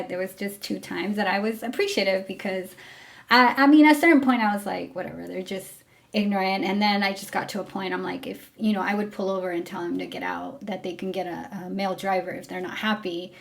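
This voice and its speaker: A young woman from Mexico talking candidly to camera, frank and full of fillers, about her time as a driver. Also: medium pitch. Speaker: former rideshare driver. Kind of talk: casual storytelling to camera.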